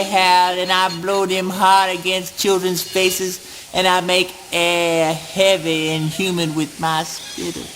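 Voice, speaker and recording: Male actor as menacing yet childish oddball for spoken word drama: high, slow, obsessive. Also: fairly bright tone. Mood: boastful, menacing. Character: Eugene, strange grandiose male misfit, menacing and childish. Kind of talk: theatrical spoken word monologue. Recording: noisy early-nineties amateur audio play.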